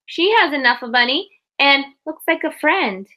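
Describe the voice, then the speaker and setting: A woman's voice: high-pitched, expressive, normal speed, bright, forceful tone. A woman reading a picture book aloud to young children like a teacher, expressively, with explanatory asides and questions.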